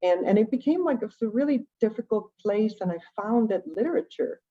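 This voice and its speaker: A woman's voice: mid-range voice, lively intonation, fairly quick, mellow. A woman from Venezuela, a native Spanish speaker, tells her own story conversationally and reflectively, often checking in with rhetorical questions. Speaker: guest, education nonprofit director. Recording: dull, lo-fi call audio.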